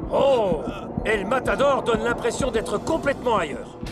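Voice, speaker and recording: A cartoon football announcer. His voice sits extremely high for a man, excitable and dramatic. Music runs under the commentary. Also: a little slow. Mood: astonished.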